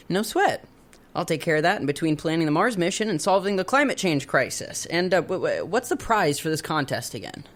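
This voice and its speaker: An actor playing a goofy, mischievous college student in an audio drama, joking and protesting theatrically. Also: male voice, a little high, a bit bright. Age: young adult. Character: goofy, mischievous male college student, jokey and easily indignant.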